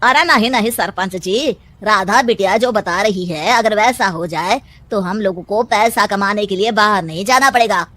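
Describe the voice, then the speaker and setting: A woman voicing an anxious, simple male villager in an animated moral story, with a pleading, worried manner. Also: mid-range voice, normal speed, few pauses, no room sound, natural voice.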